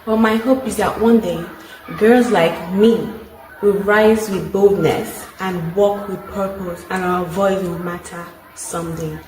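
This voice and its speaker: A woman's voice: medium pitch, fairly even intonation, measured pace, darkish tone. A young woman from Nigeria gives an earnest personal statement. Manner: earnest recitation. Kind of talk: short recorded personal statement. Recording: echoey room with background noise.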